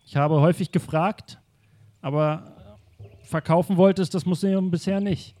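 A man's voice: high-pitched, fairly even intonation, measured pace, dark tone. A man voicing a rich, eccentric male art collector who talks in an indignant, self-assured way.

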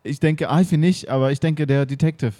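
A man's voice: fairly high pitch, fairly even intonation, a little fast, warm tone, no echo. Dry-sounding man narrating an improvised drama. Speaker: narrator and actor.

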